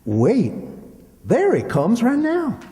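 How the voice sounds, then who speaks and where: An actor playing a soft-hearted, gullible rustic sidekick with a plaintive manner and a rural Southern American accent in a radio comedy. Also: male voice, very high-pitched, wildly swooping pitch, slow, full character voice. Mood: meek. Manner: plaintive, folksy. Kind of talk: old-time radio comedy acting. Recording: reverberant hall stage recording.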